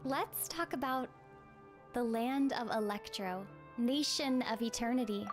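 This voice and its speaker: A woman on a live game stream, plainly reading her dialogue choices aloud. Her voice sits a little high for a woman. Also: unhurried, faint music behind. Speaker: streamer and voice actor.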